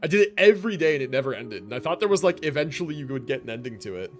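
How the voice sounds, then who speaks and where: A man livestreaming a game with casual, slangy commentary, musing out loud and swearing now and then. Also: medium pitch, very animated, racing.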